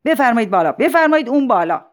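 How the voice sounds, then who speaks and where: Lady doing a pompous school principal guy, very formal, announcing stuff loudly like at some ceremony. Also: mid-range voice, lively intonation, pitched above the performer's own voice, natural voice. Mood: insistent, loud.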